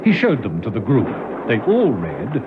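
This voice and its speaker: An actor as the dramatic narrator of a classic spy radio serial, reading scripted storytelling. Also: male voice, medium pitch, wildly swooping pitch, normal speed, noisy background. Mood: suspenseful. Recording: dull lo-fi vintage radio recording. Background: music.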